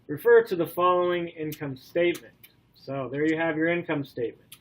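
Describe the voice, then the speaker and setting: A man's voice: on the high side, brisk, low-quality recording. Stuffy-nosed man lecturing a class. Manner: relaxed, many ums, asks class questions. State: stuffy from a cold. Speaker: college instructor.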